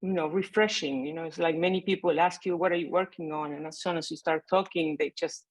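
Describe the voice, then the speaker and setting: A woman's voice: deep voice, steady tone, a little fast. A middle-aged woman from Argentina, speaking as a mathematician on a panel over a video call, conversational and hesitant with many fillers. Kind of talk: remote panel remarks.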